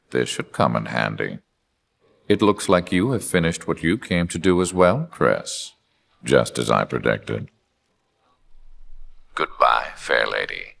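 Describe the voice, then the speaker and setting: A man voicing a cold, arrogant, scheming superhuman video game villain in a measured, theatrical, menacing delivery with a gravelly voice. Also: a bass voice, a bit bright, exaggerated voice. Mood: cold, triumphant. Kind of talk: scripted video game villain narration and dialogue.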